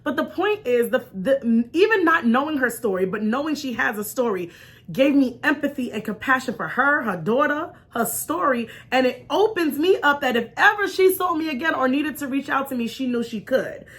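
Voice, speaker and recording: A woman talk show host telling a personal story in an expressive, chatty, informal way, acting out bits of dialogue and checking in with her listeners. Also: sits high, normal speed. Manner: punctuates points with check-in questions like "right?". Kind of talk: animated personal storytelling to an online audience.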